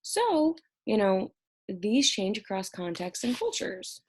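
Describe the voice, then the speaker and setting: A woman's voice: medium pitch, big pitch swings, slow pace, darkish tone, husky. A young woman recording an exam review lecture at home, explaining each topic conversationally while sounding stressed and short on sleep. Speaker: college instructor.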